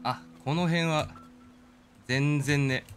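A man's voice: a little high, takes it slowly, faint music behind. A man giving casual live commentary as he streams a game, talking to himself and his viewers. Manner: reads game dialogue aloud in character.

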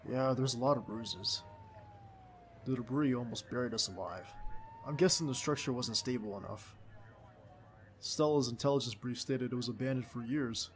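A man's voice: medium pitch, moderate pace, pauses often, slight background noise, close to an everyday voice. A man playing a young male field agent, a doting, stubborn, playful boyfriend, in an ASMR roleplay audio drama. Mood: tender, teasing. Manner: affectionate, teasing, protesting.

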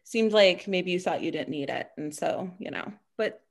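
Woman improvising comedy, halting and reflective. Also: on the low side, creaky. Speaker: improv performer. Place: small room at home. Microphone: video call.

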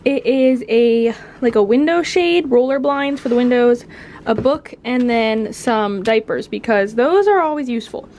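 A woman's voice: fairly high pitch, measured pace, darkish tone, slight background noise. A young American woman chatting informally and casually, as a vlogger talking to her viewers. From United States. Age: young adult. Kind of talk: casual vlog chat.

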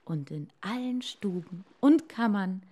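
A woman tells a fairy tale in a soft, mellow voice at an unhurried pace. Her reading is expressive and deliberate, shaped for children listening to a story. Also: medium pitch. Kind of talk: scripted fairy-tale narration.

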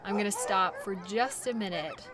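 A woman playing a cheerful, reassuring dog-sled musher in a naturalistic audio roleplay, chatting gently to her passenger. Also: mid-range voice, moderate pace, a crowd in the background, close to an everyday voice. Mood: calm, friendly. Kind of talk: audio roleplay, naturalistic second-person acting.

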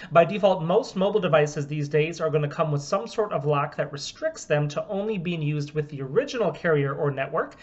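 A man's voice: a little high, animated, a little fast, hardly any pauses. A man in his thirties from the US, steadily explaining a phone unlock demo in a small room at home. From United States. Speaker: phone repair business owner. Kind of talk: product demonstration. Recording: dull, lo-fi camera audio.

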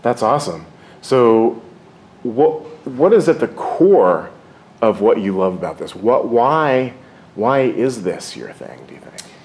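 A man's voice: medium pitch, big pitch swings, takes it slowly, a little mellow, a little hiss or hum. A man hosting an interview, asking upbeat, encouraging questions.

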